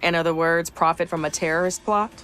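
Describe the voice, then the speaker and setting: A woman playing a stubborn, no-nonsense car mechanic in a game cutscene, brisk and opinionated. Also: fairly low pitch, steady tone, a bit bright, faint music behind, lightly acted. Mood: exasperated. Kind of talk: video game cutscene acting.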